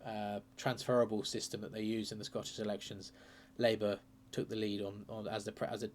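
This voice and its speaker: A man hosting a political channel, talking casually and hesitantly through by-election numbers, his voice a bit croaky from a cold. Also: on the low side, little pitch movement, a little fast, slight background noise. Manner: chatty, hesitant, lots of ums and uhs. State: croaky with a sore throat and cold. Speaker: political commentary channel host.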